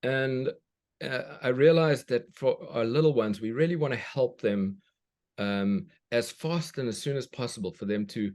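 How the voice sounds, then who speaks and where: A man's voice: mid-range voice, measured pace, darkish tone. A man from South Africa, a children's book author, speaks thoughtfully as a guest, often restarting as he searches for words. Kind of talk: reflective conversation.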